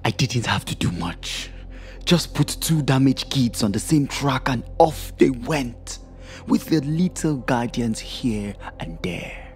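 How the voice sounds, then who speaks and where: A woman's voice: extremely low pitch, animated, slow pace, darkish tone, faint music behind, heavily acted. An actress playing a cruel, vengeful woman who mockingly taunts a captive aunt, her voice swinging between sing-song and whispers. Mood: cold. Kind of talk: film drama acting.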